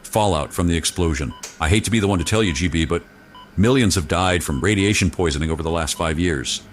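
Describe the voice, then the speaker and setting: A man's voice: low-pitched, brisk, slight background noise, close to an everyday voice. A man playing a fatherly military boss in a radio serial, folksy, hesitant and reassuring. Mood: reluctant, grave. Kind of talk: mock radio serial acting.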